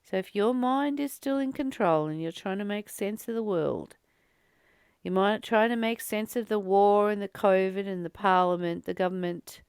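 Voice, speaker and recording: A woman's voice: medium pitch, moderate pace, a little mellow, clear, resonant tone, dry recording, studio quality. A woman reading a spiritual text and commenting on it as a teacher, earnest and exhorting, repeating phrases for emphasis.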